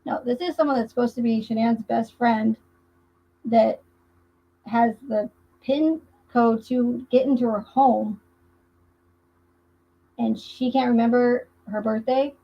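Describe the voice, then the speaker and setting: A woman with a fairly high, husky voice hosts a casual livestream. She talks conversationally and emphatically, circling back over her points. The audio is dull and lo-fi. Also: fairly even intonation, slow pace, pauses often. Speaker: livestream host. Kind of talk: casual livestream commentary on a true crime case.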